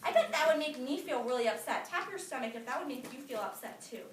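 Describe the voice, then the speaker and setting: A woman teacher reading a picture book aloud to young children, expressive and emphatic, pausing to instruct the class. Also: high voice. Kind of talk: animated classroom read-aloud. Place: echoey indoor classroom. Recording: echoey room, distant mic, noisy, clicks.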